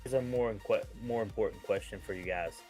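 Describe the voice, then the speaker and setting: Man, from Kentucky, fairly low voice, casual co-player chat, music in background. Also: a little fast, darkish tone. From Kentucky, United States. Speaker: stream co-player.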